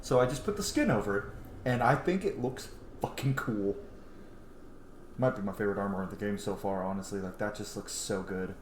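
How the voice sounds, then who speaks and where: A man's voice: lowish voice, brisk. A man in his thirties giving casual, chatty, sweary gaming commentary as a channel host. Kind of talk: casual let's play commentary. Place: small room at home, indoors. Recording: echoey room with faint noise.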